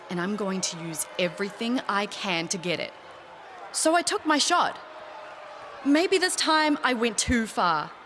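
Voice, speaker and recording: A woman's voice: mid-range voice, fairly bright tone, slight background noise. A woman playing a defiant, scheming young pro wrestler in a video game cutscene. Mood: contrite.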